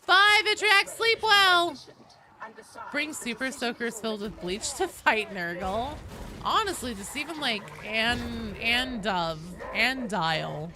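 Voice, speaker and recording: A woman's voice: a little high, lively intonation, slow, crowd noise. A woman live streamer chatting casually and wryly with her viewers while she plays a game. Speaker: live streamer hosting gameplay.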